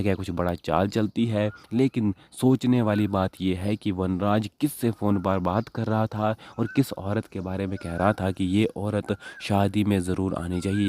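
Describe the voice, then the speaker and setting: A man's voice: lowish voice, darkish tone, slight background noise. A man delivering a scripted voice-over in the manner of TV gossip news, repeating points to build suspense. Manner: repetitive, suspense-building recap. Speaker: channel narrator of TV serial gossip. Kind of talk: scripted voice-over narration of soap spoilers. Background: background music.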